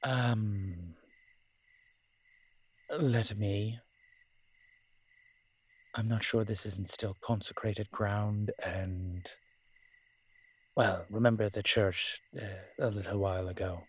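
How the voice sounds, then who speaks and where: A man playing a wry, flirtatious immortal incubus demon in a roleplay audio drama, with a husky voice and a dry, teasing, conversational manner. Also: lowish voice, steady tone, slow, pauses often. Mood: uneasy, wry.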